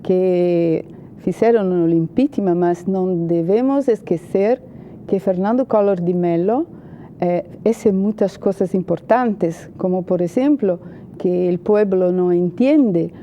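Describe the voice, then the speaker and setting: A woman's voice: lowish voice, slow, muffled recording, a little hiss or hum. A Brazilian woman with a Brazilian Portuguese accent, a journalist and political analyst, answering interview questions in hesitant Spanish full of "eh" fillers.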